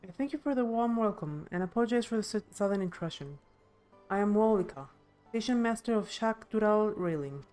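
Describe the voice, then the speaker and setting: A woman's voice: mid-range voice, darkish tone, natural voice. A woman voicing a polite, apologetic railway station master in a fantasy game, speaking formally and courteously. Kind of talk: game character voice-over.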